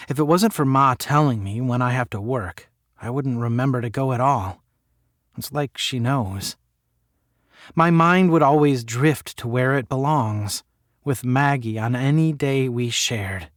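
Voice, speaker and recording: A man's voice: medium pitch, measured pace, dry recording, studio quality, close to an everyday voice. A man playing a sardonic, moody young working man who narrates his own audio story, drifting between muttering and bitterness. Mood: dreamy.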